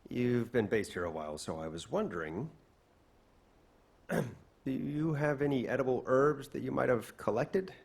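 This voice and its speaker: An actor playing a playful, easygoing young chef and fighter in a video game. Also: male voice, medium pitch, expressive, moderate pace, creaky, darkish tone, slightly rough. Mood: teasing, awkward. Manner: playful, breezy, teasing.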